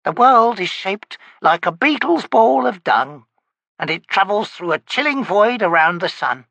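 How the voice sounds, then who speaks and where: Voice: medium pitch, lively intonation, vocal fry, a bit bright, no echo, voice pushed up, full character voice. A narrator voicing an ancient, cackling, toothless old woman who hisses and shrieks her cryptic answers. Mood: lecturing. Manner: hissing, shrieking, slow cryptic pronouncements.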